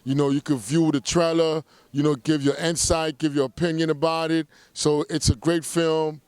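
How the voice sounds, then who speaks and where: Middle-aged man, clear resonant voice, casual promotional talk, emphatic check-ins, dry close sound. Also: fairly high pitch, level tone, normal speed, a little mellow, no room sound. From Haiti, raised in Brooklyn, New York. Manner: conversational, emphatic, repeats check-in tags. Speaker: documentary filmmaker.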